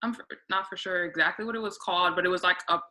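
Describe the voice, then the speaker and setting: A woman's voice: fairly low pitch, fast, bright, forceful tone, low-quality recording. A young woman answering informally as a student panelist. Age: young adult.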